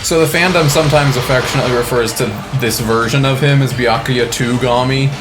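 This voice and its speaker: A man's voice: mid-range voice, a little fast, music in the background. A man co-hosting a let's play, guiding the player with casual, joking commentary.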